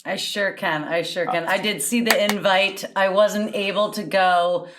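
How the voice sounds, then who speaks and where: A woman on a comedy game podcast, quick-witted and teasing as she improvises an answer. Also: medium pitch, steady tone, noisy background. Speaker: podcast contestant.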